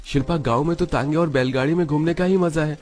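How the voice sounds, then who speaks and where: Man voicing a higher-pitched easygoing young cartoon husband. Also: fast, a little mellow, lightly acted. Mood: cheerful, explaining.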